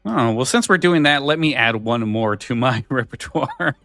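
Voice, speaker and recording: A man's voice: mid-range voice, expressive, moderate pace, vocal fry, bright, forceful tone, dry recording, studio quality. A man hosting a podcast in casual chat, often backtracking and repeating phrases as he goes. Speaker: podcast host.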